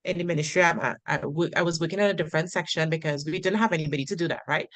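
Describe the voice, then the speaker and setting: A woman hosting a casual interview and telling lively anecdotes, often checking in with "right?". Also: deep voice, racing, dry recording. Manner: anecdotal storyteller, tags sentences with "right?". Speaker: Spanish teacher and interview host.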